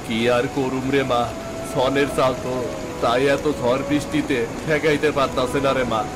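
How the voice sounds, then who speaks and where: A man's voice: mid-range voice, flat delivery, moderate pace, heavy background noise, close to an everyday voice. Man voicing a fretful village farmer dad. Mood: worried. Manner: earnest, fretful rural speech. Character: warm-hearted poor middle-aged farmer father. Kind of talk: cartoon voice acting. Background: background music.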